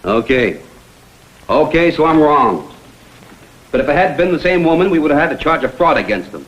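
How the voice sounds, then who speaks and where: Vintage TV crime drama: persistent American photographer-detective, urgent, earnest delivery, animated intonation. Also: male voice, on the high side, moderate pace, highs cut off. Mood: intent.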